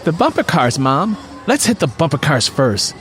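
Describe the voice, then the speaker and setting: A man's voice: on the high side, normal speed, slight background noise, voice pushed up. A man voicing an eager young son in an audiobook. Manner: eager, excited.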